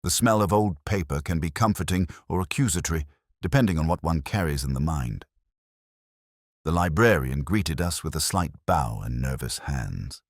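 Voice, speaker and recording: A man playing a brooding, observant police detective, narrating a mystery in a measured, reflective, literary manner. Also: a bass voice, expressive, dry recording, studio quality, full character voice. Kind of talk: scripted first-person mystery narration.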